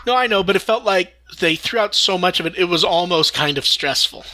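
A man's voice: sits high, lively intonation, brisk, bright, forceful tone, slight background noise. A man hosting a fan podcast, talking casually in informal discussion. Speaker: podcast host.

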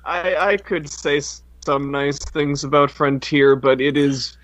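A man with a slightly high, bright voice, recorded very dry. He co-hosts a podcast with casual banter and speaks bluntly and teasingly. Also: steady tone, unhurried, no room sound, poor audio. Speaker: podcast co-host.

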